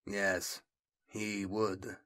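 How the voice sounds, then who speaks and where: A man's voice: lowish voice, level tone, very slow, bright, forceful tone, heavily acted. Man playing a terse, guarded male scribe. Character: earnest, sceptical male scribe, stubbornly loyal.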